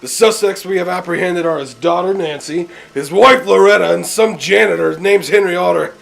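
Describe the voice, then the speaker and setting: A man's voice: high voice, moderate pace, creaky, a bit bright, full character voice. A man playing a mocking, blunt police chief in an amateur radio drama. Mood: brusque. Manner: sneering, blunt, sarcastic.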